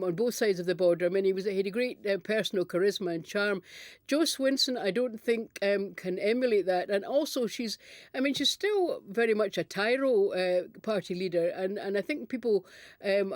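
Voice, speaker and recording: A woman with a Scottish accent giving measured, candid and wry political analysis in conversation. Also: mid-range voice, darkish tone, dry recording, clean studio sound. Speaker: political commentator, panel pundit.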